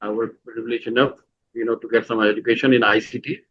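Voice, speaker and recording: Pakistani-accented middle-aged man, halting and reflective. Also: medium pitch, flat delivery, highs cut off. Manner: halting, full of "you know" fillers and restarts. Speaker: guest. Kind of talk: conversational interview answers.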